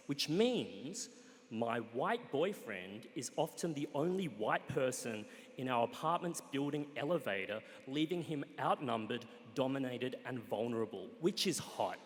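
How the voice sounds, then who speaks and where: Australian man, wry stage storyteller with deadpan punchlines, animated and fairly high, in a reverberant live hall. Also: measured pace. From Australia, Sunshine Coast, Queensland. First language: Cantonese. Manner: wry and playful, with deadpan punchlines and crude jokes. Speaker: guest storyteller. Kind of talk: comic personal storytelling on stage.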